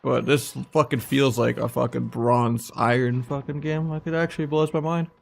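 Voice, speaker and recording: A man's voice: medium pitch, fairly even intonation, moderate pace, creaky. A man giving casual, sardonic commentary on his own ranked match, muttering and swearing freely. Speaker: game streamer.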